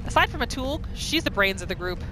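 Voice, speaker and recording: A woman hosting roleplay game commentary, narrating her character in the third person in an expressive, dramatizing way. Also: medium pitch, a little fast, over music. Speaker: gaming video host.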